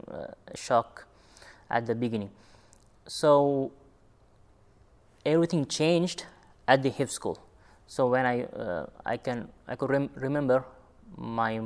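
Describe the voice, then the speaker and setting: Young guy from sri lanka, smooth clear voice, slow and thoughtful, pauses to find words. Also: mid-range voice. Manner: unhurried, searching for words. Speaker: former student interviewee. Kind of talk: reflective personal interview.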